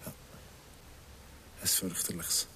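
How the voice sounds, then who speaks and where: A man acting in a Swiss German radio drama as an embittered, menacingly insistent ex-convict in his thirties, speaking deliberately and sardonically with pointed pauses. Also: very noisy, close to an everyday voice. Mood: insistent, quiet. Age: middle-aged. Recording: music behind the voice.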